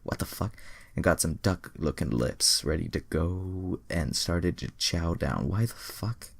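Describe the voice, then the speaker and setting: A man's voice: low voice, expressive, unhurried, gravelly, husky, dry recording. A man reading fan fiction aloud, breaking off into exasperated, sweary asides. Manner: exasperated, sweary commentary on the text. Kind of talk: dramatic reading with casual profane asides.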